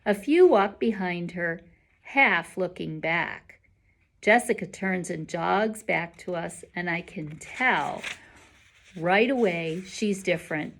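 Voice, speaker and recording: A woman reading a children's novel aloud in an expressive storybook narration style. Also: on the low side, slow.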